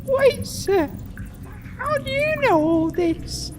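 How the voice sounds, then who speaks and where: A man voicing a timid, simple, loyal hunchback squire in a comedy sketch, deferential and halting. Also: very high voice, big pitch swings, noisy background, full character voice. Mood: puzzled, timid. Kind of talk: comedy sketch character acting.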